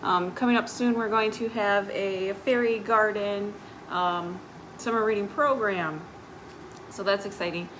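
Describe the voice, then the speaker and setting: A woman with a mid-pitched voice hosts a children's storytime on a live stream. She reads picture books aloud and adds simple, informal explanations for young listeners. Faint noise sits in the background. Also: steady tone, unhurried, fairly bright tone, poor audio. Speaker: librarian hosting a children's storytime. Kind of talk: picture-book read-aloud with informal asides.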